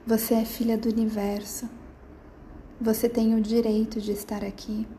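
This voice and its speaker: A woman guiding a sleep meditation in a slow, soft, soothing manner with gentle pauses, slipping into near-whispers. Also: on the high side, little pitch movement, slight background noise. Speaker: meditation guide.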